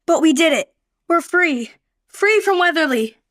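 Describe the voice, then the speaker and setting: Woman playing a high-voiced plucky young girl. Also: expressive, a little slow, fairly bright tone, dry recording, clean studio sound, exaggerated voice. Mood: relieved, eager. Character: plucky, encouraging orphan girl on the run. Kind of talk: radio play acting.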